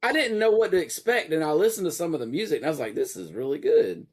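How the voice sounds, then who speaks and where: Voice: mid-range voice, lively intonation, quick pace, a crowd in the background. An interviewer chatting casually and reacting to guests in a friendly way.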